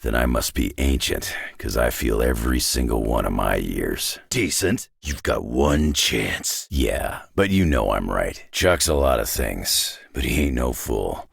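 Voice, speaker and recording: A man voicing a gruff, weary middle-aged superhero brawler for a video game, with a deep raspy growl and terse, dry, folksy tough-guy talk. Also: animated, unhurried, few pauses, no room sound, exaggerated voice. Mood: tough, taunting. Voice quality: deep gravelly rasp.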